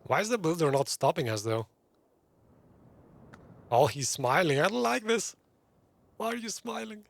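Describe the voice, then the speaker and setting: A man's voice: a little high, lively intonation, measured pace, slight background noise. A man giving casual gameplay commentary as a gaming streamer, reacting in short remarks. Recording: game music clearly behind.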